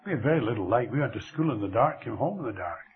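An older man with a Scottish accent preaching a sermon, slow and expressive, letting his voice fall very quiet at the ends of phrases. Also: mid-range voice, breathy edge. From Scotland. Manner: slow, lively delivery with dramatic drops to near whisper. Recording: thin phone-like radio broadcast.

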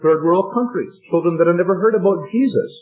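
A man delivers a sermon in an earnest, expository way, his voice sitting a little high for a man. The sound is thin and tinny, like a phone line. Soft music plays faintly underneath. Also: a little fast. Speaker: preacher. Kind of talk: sermon with personal anecdote.

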